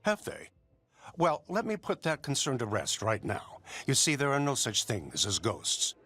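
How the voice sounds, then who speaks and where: A pompous male government agent for a video game. His delivery swings widely in pitch around a middle register. He sounds officious and condescending, a hostile skeptic who talks down to everyone. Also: sing-song, measured pace, sharp tone, slightly rough, exaggerated voice. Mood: smug, authoritative. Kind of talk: acted video game dialogue.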